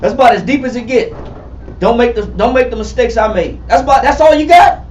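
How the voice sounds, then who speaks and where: A man in his forties teaching a Bible study, emphatic and repetitive, calling on readers and building to shouts. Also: very high-pitched, fairly quick, slight background noise. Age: 40s. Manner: emphatic, repetitive, calls for readings, rising to shouts. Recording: far-off echoey room, muffled and lo-fi.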